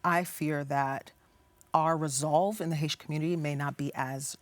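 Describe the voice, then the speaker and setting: Earnest low-voiced woman guest. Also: steady tone, moderate pace, no echo. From United States. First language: English. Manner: earnest, passionate, stops and restarts phrases. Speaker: community organization founder, guest. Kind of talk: studio interview.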